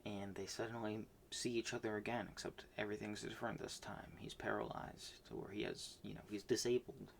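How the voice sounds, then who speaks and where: A man casually recapping the manga he read this month, rambling with many fillers and restarts, swinging between loud bursts and soft mumbles. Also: lowish voice, level tone, brisk, gravelly, few pauses, a little hiss or hum. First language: not Japanese. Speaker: manga reviewer.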